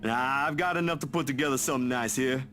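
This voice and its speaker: A man's voice: mid-range voice, quick pace, sharp tone, faint music behind, lightly acted. An actor playing an easygoing, caring young man in a visual novel, talking casually and teasing a friend in a folksy way. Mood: playful, teasing.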